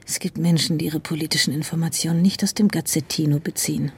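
An actress playing a sharp, caring middle-aged wife in a radio drama, speaking in a dry, probing, intimate way. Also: female voice, low voice, fairly even intonation, quick pace, breathy edge, exaggerated voice. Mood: probing, indignant. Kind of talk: naturalistic radio drama acting.

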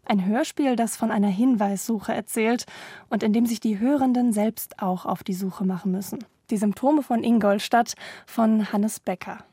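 Female radio interviewer, mellow, slightly husky. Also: a little high, fairly even intonation, moderate pace, no echo. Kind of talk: prepared radio moderation and questions.

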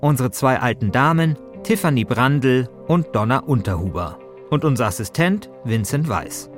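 A man's voice: mid-range voice, expressive, normal speed, soft background music. A man hosting a podcast, reading scripted narration in a conversational way. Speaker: host and narrator.

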